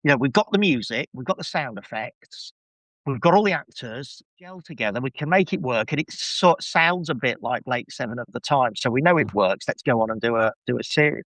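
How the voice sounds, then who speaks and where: A man's voice: medium pitch, animated, a little fast, fairly bright tone, no echo, rough recording. A man speaking as a podcast guest in a casual review chat, effusive and enthusiastic in the way he talks.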